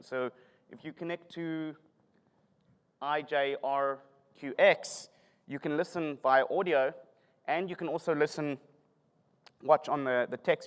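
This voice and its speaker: A man's voice: medium pitch, clean tone. Joking South African man in a hall. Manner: self-deprecating, joking. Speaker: conference speaker. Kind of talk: conference talk. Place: conference hall. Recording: long hall reverb.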